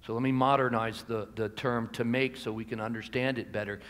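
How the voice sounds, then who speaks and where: A man's voice: medium pitch, fairly even intonation, a little fast, fairly bright tone. American man preaching in a reverberant hall. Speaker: pastor preaching. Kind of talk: sermon. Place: church sanctuary at a pulpit. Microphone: gooseneck pulpit microphone. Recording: reverberant hall, amplified pulpit sound.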